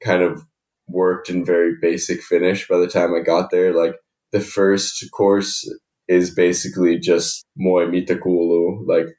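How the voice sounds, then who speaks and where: A man's voice: deep voice, monotone, unhurried, darkish tone. A young man with a Canadian accent chatting casually and informally as a podcast guest, in a hesitant way. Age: young adult. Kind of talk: casual interview chat. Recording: roomy echo, distant from mic.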